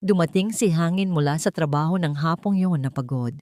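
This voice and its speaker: A woman's voice: deep voice, brisk, warm tone, no room sound, studio quality. A woman with a Filipino accent narrating a scripted dramatic story.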